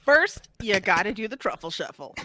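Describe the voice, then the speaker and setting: A woman's voice: medium pitch, very animated, normal speed, pushed and bright. A woman reading the part of a smart-mouthed fifteen-year-old boy, brash and taunting, in a script table read.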